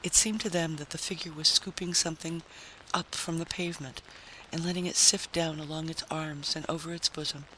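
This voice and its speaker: A man's voice: on the high side, fairly even intonation, brisk, hardly any pauses, bright, forceful tone, slight background noise, low-quality recording. A man reading fiction aloud as a dramatic, scripted narration. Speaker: audiobook narrator.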